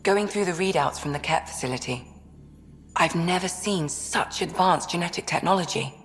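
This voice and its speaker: An actress playing a composed, frank crew member in a video game, speaking in a measured way. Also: female voice, on the low side, big-room sound, faint music behind, close to an everyday voice. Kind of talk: video game character acting.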